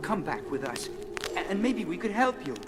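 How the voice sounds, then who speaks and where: An actor playing an eager, hot-headed young superhero on a 1970s record drama, earnest and excitable in his delivery. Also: high voice, normal speed, heavy background noise. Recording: loud dramatic music behind voice.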